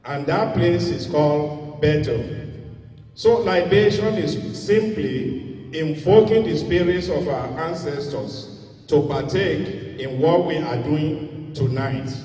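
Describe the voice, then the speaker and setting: A middle-aged man speaks slowly and deliberately, explaining like a preacher, with a mellow, dark tone. He holds a handheld mic on a large hall stage, and he sounds far off, with a long reverb. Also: a little high. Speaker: libation speaker at a gala. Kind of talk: ceremonial speech.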